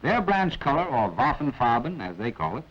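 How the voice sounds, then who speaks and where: A man's voice: mid-range voice, very animated, normal speed, fairly bright tone, slightly rough. A man with an American accent narrating a 1940s military training film, instructing in a clipped way with wry asides. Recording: dull, band-limited vintage film soundtrack.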